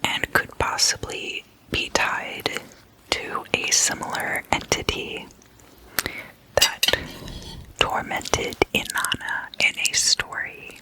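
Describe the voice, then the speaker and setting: An ASMR host speaking entirely in a whisper, explaining things casually in short, deliberate, hushed phrases. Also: low-quality recording.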